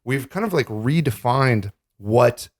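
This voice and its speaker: A man in his thirties, co-hosting a podcast in an animated, jokey casual chat. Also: mid-range voice. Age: 30s. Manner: animated, jokey, quick lists. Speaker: podcast co-host.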